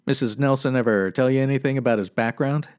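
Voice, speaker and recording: A man acting as a 1950s radio police sergeant with a medium-pitched voice. He is stern, dry and unflappable, speaking in clipped, deadpan sentences. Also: fast talker, clean tone, natural voice. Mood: businesslike. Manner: deadpan, clipped, matter-of-fact.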